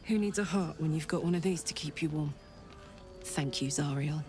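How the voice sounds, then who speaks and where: An actress voicing a chatty, earnest soldier with an infernal engine for a heart in a video game, with a British accent and blunt, expressive delivery. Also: female voice, deep voice, normal speed. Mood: friendly, wry. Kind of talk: video game character acting. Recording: game audio with music behind. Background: music.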